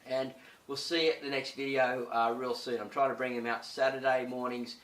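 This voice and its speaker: A man hosting a casual gardening tutorial, chatty and full of fillers, his voice slightly croaky. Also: mid-range voice, fairly even intonation, brisk, a bit bright, echoey room, distant mic, low-quality recording. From Australia. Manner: chatty, many "uh" and "you know" fillers. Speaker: gardening channel host.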